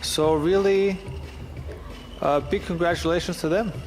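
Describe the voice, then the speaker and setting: A man's voice: sits high, fairly even intonation, a little slow. Man commentating in a big echoing hall. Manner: upbeat, joking, chatty with restarts. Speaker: event commentator. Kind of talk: live sports commentary. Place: large event hall. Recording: long reverb in a big hall, faint ambience.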